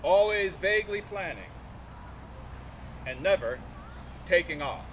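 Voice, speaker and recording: Male reader for live outdoor literary event: declamatory, broken phrasing, very animated pitch, faint background noise. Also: slow pace. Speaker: artist reading aloud and taking questions. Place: outdoors in a sculpture park. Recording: noisy outdoor recording with vehicle rumble.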